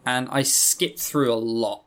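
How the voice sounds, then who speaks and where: A man's voice: medium pitch, slow, fairly bright tone. Man chatting casually on a podcast. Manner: rambling, opinionated, swears casually. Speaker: podcast co-host. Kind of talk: casual chat, game review.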